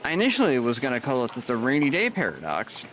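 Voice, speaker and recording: An actor plays an easygoing male nurse in an audio drama. He sounds wry and unhurried, with a mid pitch that moves a lot. A faint hiss sits behind him. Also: wildly swooping pitch, fairly bright tone. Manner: laid-back, wry.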